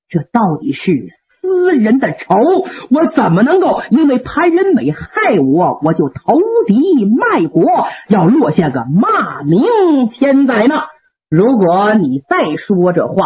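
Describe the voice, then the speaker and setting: A stern old general with a fiery temper, voiced by a woman storyteller, barking harsh commands in a lowered, husky voice. The sound is thin and phone-like, as if from an old radio broadcast. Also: big pitch swings, unhurried, heavily acted. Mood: furious. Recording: tinny phone-like radio recording.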